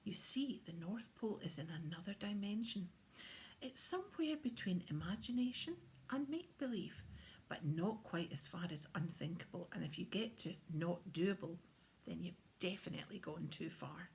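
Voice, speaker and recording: A woman's voice: lowish voice, animated, normal speed, a little mellow. A woman voicing a jolly, proud old Santa who explains things merrily in a children's storybook. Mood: explaining. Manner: merry, explanatory. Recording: faint background noise.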